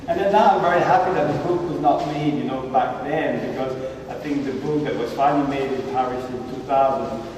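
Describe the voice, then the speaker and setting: A man in his fifties from Belgium, a photographer giving a casual gallery talk with halting restarts and self-corrections. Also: mid-range voice, darkish tone. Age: 50s. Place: gallery hall. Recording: echoey hall from far off, noisy.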